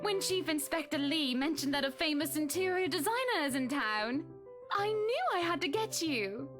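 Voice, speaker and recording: An actress playing a gushing, sociable high-society lady in a visual novel. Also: animated, normal speed, over music. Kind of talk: visual novel game voice acting.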